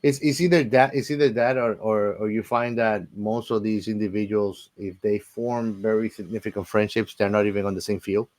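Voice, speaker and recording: A man's voice: fairly low pitch, steady tone, normal speed, few pauses, a little mellow, no room sound. A man chatting casually as a podcast co-host, very informal and often tagging his phrases with "man". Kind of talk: casual film podcast chat.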